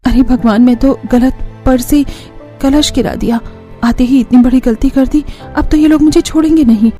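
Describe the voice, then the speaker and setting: A woman's voice: sits high, fairly even intonation, normal speed, mellow, faint music behind, natural voice. A woman playing a timid, anxious young bride in a cartoon family story, apologetic and worried in manner. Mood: panicked. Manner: apologetic, worried, wide-eyed.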